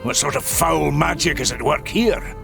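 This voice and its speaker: A gruff, boisterous dwarf warrior growls through a video game cutscene. His voice is rough and gravelly, set against loud orchestral music. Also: fairly high pitch, normal speed. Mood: hearty.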